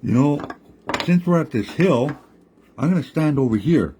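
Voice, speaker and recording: A man voicing a sly, smooth-talking male coyote trickster who coaxes and reassures. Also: a little high, animated, moderate pace, noisy background, voice pushed up, close to an everyday voice. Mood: scheming. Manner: coaxing, reassuring, easygoing.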